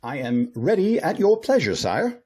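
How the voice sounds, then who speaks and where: A man's voice: fairly high pitch, very animated, moderate pace, a little mellow, slightly rough. A man playing a deferential royal servant and herald in an audio drama, speaking formally. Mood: meek, cautious.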